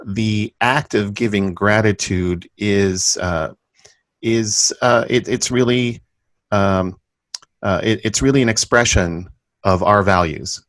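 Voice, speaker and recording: Male interviewer, deep register, formal and deliberate with halting phrasing, very dry recording. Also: fairly bright tone, no echo. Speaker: podcast host. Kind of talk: measured interview talk.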